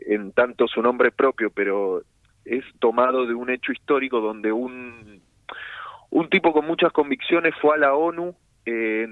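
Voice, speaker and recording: A young Argentine man with a Rioplatense accent, an actor talking earnestly in a casual phone interview, pausing often to search for words. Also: mid-range voice, no room sound. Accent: Rioplatense Spanish. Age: young adult. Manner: earnest, thoughtful, many hesitations. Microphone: phone line. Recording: dry, tinny phone line.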